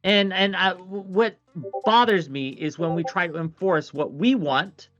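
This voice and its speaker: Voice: medium pitch, measured pace, fairly bright tone, no room sound. A host moderating an online panel, speaking emphatically and repeating points for effect. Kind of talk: casual online discussion. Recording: dry lo-fi mic with faint music.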